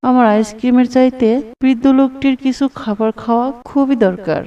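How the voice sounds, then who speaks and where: Woman as a high-voiced earnest little boy. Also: brisk, distant mic, lo-fi sound, natural voice. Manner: earnest, eager, polite. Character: earnest, pious, polite young schoolboy.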